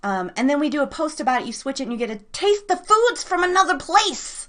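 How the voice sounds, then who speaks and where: A woman's voice: sits high, very animated, a little fast, fairly bright tone. An American woman hosting a live internet radio show in a casual, chatty, bubbly way, speaking affectionately to her listeners. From United States.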